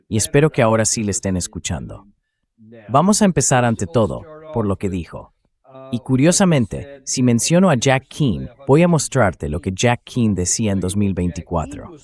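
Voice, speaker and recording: A male host with a fairly low voice delivers political commentary. He speaks in a measured, explanatory way and puts firm emphasis on his key points. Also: big pitch swings, darkish tone. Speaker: show host. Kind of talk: political commentary to camera, translated read-aloud.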